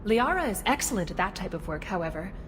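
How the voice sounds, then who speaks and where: A woman voicing a courteous female alien city guide in a video game, speaking with polite, formal and measured official courtesy. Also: medium pitch, big pitch swings, faint music behind, natural voice. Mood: courteous, informative. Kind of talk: scripted video game dialogue. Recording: long reverberant hall, some background noise.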